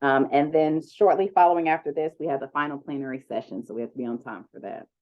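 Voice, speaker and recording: A woman with a deep voice introduces speakers on an online conference panel. She talks in a loose, chatty way, full of ums. The audio is dull and lo-fi, as if it came through a video call. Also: fast talker. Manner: relaxed, chatty, with many ums. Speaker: panel moderator.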